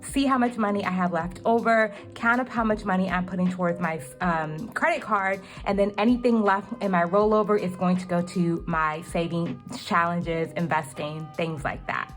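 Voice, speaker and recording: A woman's voice: lowish voice, moderate pace, few pauses, faint music behind. A young woman talking through her monthly budget in a casual vlog, chatty and full of ums, her voice a little cracked. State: slightly cracking voice. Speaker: budgeting vlogger.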